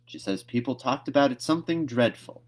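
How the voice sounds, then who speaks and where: A man voicing a stern older woman who scolds a child briskly in a storybook read-aloud. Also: medium pitch, lively intonation, voice pushed up, lightly acted. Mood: exasperated.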